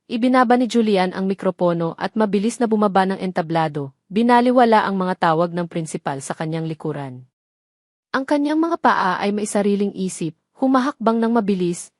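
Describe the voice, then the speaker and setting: A woman's voice: mid-range voice, dry recording, clean studio sound. A woman reading a scripted dramatic story as its narrator.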